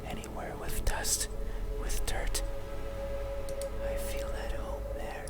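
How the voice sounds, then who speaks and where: An actor playing an eerie, obsessive, brooding monster of the night in a breathy, deliberate whisper, as a horror ASMR monologue. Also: lightly acted. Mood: creepy confiding. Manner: slow, hushed, deliberate, rambling in anxious questions. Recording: music loud behind the whisper. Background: loud music.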